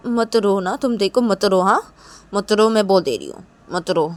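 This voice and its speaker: A woman's voice: mid-range voice, lively intonation, normal speed, natural voice. A woman voicing a stern, guilt-ridden young woman with a short temper, repeating terse warnings in an improvised dramatic story. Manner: terse, insistent, repetitive warnings.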